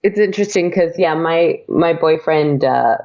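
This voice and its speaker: Young woman, gravelly, chatty podcast guest, frequent laughs, dull lo-fi audio. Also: low-pitched, moderate pace, darkish tone. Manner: chatty, laughs often, many fillers. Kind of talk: casual interview chat.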